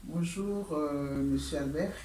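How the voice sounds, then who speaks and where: A woman's voice: low-pitched, slow, mellow, echoey room, far from the mic, a little hiss or hum. A woman in her sixties from the Republic of the Congo, an adult English learner, answering interview questions hesitantly, with many fillers and restarts. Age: 60s.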